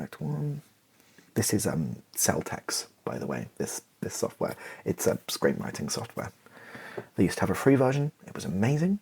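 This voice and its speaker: A British man doing casual, improvised ASMR, thinking aloud in hesitant fragments with a soft, breathy, partly whispered voice. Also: deep voice. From Britain. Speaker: ASMR video creator thinking aloud while writing.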